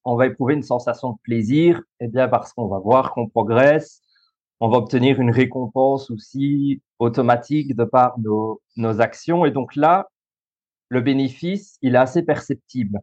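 A thirty-year-old man with a Belgian French accent talks through ideas in an easygoing, explanatory way, pausing often on fillers and restarting his sentences. His voice sits mid-range for a man. The recording sounds a little dull and lo-fi. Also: medium pitch, darkish tone. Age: 30s. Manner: explanatory, many fillers and restarts, easy laughs. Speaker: guest, school coach and social worker. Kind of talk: podcast interview.

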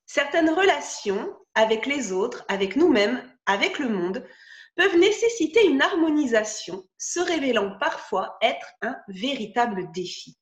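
A woman from France hosting a podcast, reading a gentle scripted intro and then chatting with her guest, with hesitant restarts. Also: high voice, very animated, a little slow. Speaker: podcast host and interviewer. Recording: echoey room.

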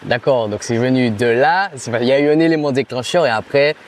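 A man's voice: medium pitch, a little fast. A man interviewing a guest in casual chat, friendly, with short agreeing replies.

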